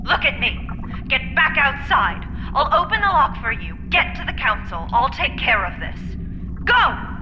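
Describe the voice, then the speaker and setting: An actress playing a commanding, angry woman scientist in an audio drama, forceful, biting and urgent. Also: sits high, sing-song, moderate pace, lo-fi sound, over music. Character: commanding, furious woman scientist facing a disaster. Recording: long reverb, noisy ambience.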